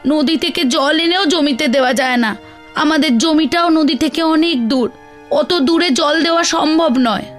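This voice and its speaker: A woman voicing a sharp-tongued, weary village wife in a cartoon, scolding and complaining theatrically. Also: high-pitched, moderate pace, faint music behind, close to an everyday voice. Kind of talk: Bengali children's cartoon dialogue.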